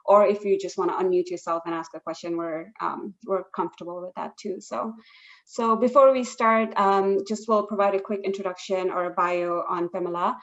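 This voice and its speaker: A woman's voice: medium pitch, steady tone, normal speed. Friendly woman host on a dull call. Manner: friendly, with many fillers. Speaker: webinar host. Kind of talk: hosting an online session. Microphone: video call.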